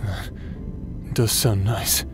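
A man acting as a bitter, broken warrior in a dramatic audio roleplay, raw and halting in his delivery. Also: lowish voice, level tone, over music. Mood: resigned. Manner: anguished, halting, emotionally raw.

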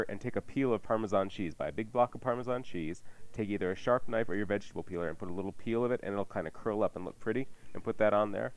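A man hosting a radio cooking show, giving food tips in casual, folksy, plain-spoken chat. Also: lowish voice. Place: radio studio. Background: faint music.

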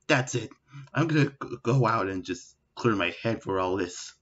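A man voicing an angsty, short-tempered hedgehog antihero in an improvised comedy parody dub, with a halting, exasperated manner. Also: on the low side, lively intonation, a bit bright, breathy edge, lo-fi sound, full character voice. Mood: fed up.

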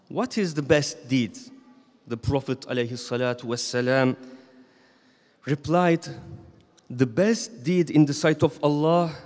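A man's voice: mid-range voice, darkish tone. Man preaching in an echoing mosque. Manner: measured, solemn reading with slow emphatic phrases. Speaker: imam. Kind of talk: Friday sermon read from notes. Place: large mosque hall. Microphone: stand microphone. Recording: long reverb of a mosque hall.

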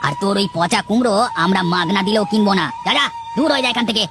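A woman's voice: mid-range voice, quick pace, close to an everyday voice. A woman voicing an officious male steward in a cartoon, bossy to inferiors and servile to his master. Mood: scornful. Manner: brusque, scolding, officious. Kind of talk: cartoon voice acting. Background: background music.

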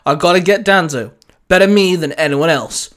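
Man acting a headstrong young space hero, raised pitch. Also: expressive, brisk, a bit bright. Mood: defiant. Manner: urgent, emphatic. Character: headstrong young male space ranger, earnest and pleading.

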